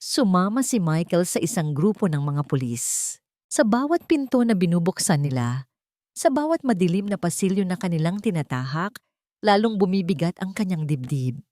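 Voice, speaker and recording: Low-voiced woman narrating, dry sound. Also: very animated, moderate pace, darkish tone, no room sound. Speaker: storyteller. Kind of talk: scripted dramatic audio-story narration.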